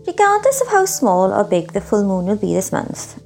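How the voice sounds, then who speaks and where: A woman's voice: mid-range voice, sing-song, normal speed, gravelly, a little mellow, soft background music. A woman presenting a literary showcase, introducing pieces and reading her own reflective writing in a scripted style.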